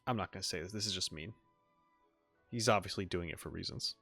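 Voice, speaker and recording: A man's voice: sits low, brisk, vocal fry, bright, forceful tone, breathy edge. Offhand man streaming a game over music. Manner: offhand, slangy. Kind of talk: casual gameplay commentary.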